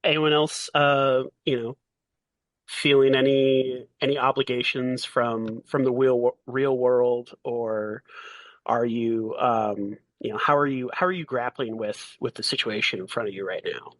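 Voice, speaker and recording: A man runs a tabletop role-playing game in a very dry recording with a middling pitch. He improvises as game master, often circling back to rephrase in a halting way. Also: fairly even intonation, fairly bright tone, no room sound. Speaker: game master and podcast host.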